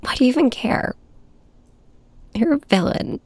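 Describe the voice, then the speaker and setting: A woman playing a heartbroken young superhero in an intimate audio drama, speaking softly and haltingly with a breathy voice. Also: high voice, expressive, dry recording, pitched above the performer's own voice, full character voice. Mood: upset, tearful. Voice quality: soft, breathy. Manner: hesitant, halting, hushed. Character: heartbroken young flying superhero confiding in and snapping at nemesis. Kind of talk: first-person audio drama narration, ASMR hybrid.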